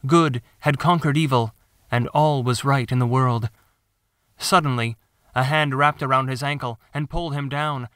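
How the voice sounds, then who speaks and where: A man reading his own story as scripted dramatic narration, measured and theatrical. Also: mid-range voice, fairly even intonation, fairly bright tone, clear, resonant tone, dry recording. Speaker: author narrating own audiobook.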